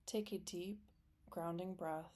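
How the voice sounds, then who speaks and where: Low-pitched woman guiding a slow meditation. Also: fairly even intonation. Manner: gentle, soothing, deliberate with long pauses. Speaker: meditation guide. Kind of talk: guided meditation, scripted and slow.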